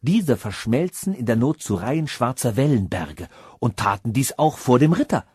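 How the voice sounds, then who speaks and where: Male audiobook narrator, husky tone, dry close sound, dramatic fairy-tale telling. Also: mid-range voice, a little mellow, no room sound.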